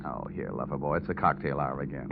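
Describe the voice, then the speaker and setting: An American actor playing a rugged, wisecracking insurance investigator in a vintage radio drama, wry and hard-boiled. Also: male voice, very deep voice, quick pace, gravelly, heavy background noise. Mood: wry, brooding. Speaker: lead actor and narrator. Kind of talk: 1940s-50s radio detective acting. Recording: muffled vintage radio recording with music.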